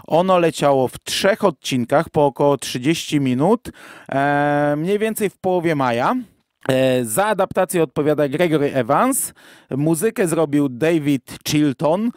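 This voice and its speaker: A man hosting a podcast in a casual, conversational way, often pausing to think aloud. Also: a little high, darkish tone, dry recording, studio quality. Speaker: podcast host.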